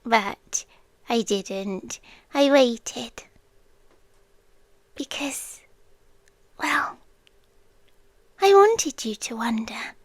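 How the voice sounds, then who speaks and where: Slow, high British yandere girlfriend acted by a woman. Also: big pitch swings, no room sound, full character voice. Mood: teasing menace. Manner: slow, breathy, lingering on short phrases with pauses. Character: obsessive yandere girlfriend, creepily devoted young woman stalker. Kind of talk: intimate ASMR roleplay, drifting in and out of whispers. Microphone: close microphone, dry intimate recording.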